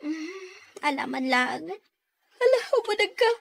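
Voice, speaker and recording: A woman's voice: sits high, big pitch swings, unhurried, creaky, no echo, studio quality, heavily acted. A woman playing a drowsy, hospitable village neighbour in a radio drama.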